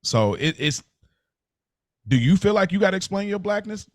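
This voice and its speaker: A man co-hosting a podcast, telling stories in a casual, conversational way, halting and repeating phrases as he works out his point. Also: high voice, very animated, no echo, studio quality. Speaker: podcast co-host.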